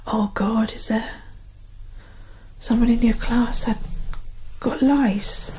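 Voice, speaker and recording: A British woman doing an ASMR roleplay as a soothing, affectionate mother, reassuring in soft close-up speech. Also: fairly high pitch, steady tone, slow, slight background noise, poor audio. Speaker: ASMR performer playing a mother. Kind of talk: ASMR roleplay, whispered and soft-spoken personal attention.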